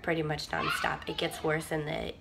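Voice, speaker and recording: Hoarse, gravelly young mom in her kitchen. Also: deep voice, monotone, normal speed. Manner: self-mocking, giggly. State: hoarse from a long cough. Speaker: vlogger. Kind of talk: casual vlog chat. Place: home kitchen. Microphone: phone camera at arm's length. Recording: noisy home phone recording.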